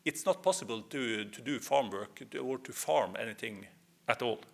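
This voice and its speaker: A man with a Norwegian accent giving a history presentation, speaking in measured phrases with hesitant fillers. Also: mid-range voice, gravelly. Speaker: lecturer.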